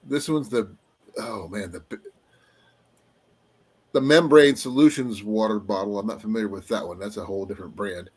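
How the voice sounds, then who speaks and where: A man's voice: on the low side, normal speed, pauses often, a little mellow, husky. Easygoing man hosting a live chat. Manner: rambling, trails off with fillers while looking things up. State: voice going slightly raspy. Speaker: livestream host. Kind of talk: casual live-stream chat.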